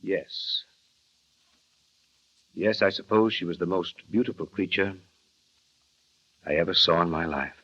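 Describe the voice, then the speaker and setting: An actor playing a reserved, kindly estate manager who answers quietly and reluctantly in a vintage radio drama. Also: male voice, deep voice, unhurried, pauses often, a little hiss or hum. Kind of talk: 1940s radio acting. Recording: dull vintage radio recording.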